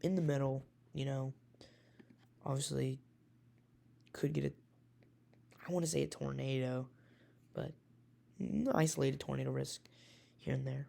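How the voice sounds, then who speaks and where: Gravelly-voiced man rambling through forecasts. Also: medium pitch, measured pace, long pauses, mellow. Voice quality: raspy. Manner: rambling, hesitant, self-correcting. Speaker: amateur weather enthusiast. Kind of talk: casual forecast walkthrough.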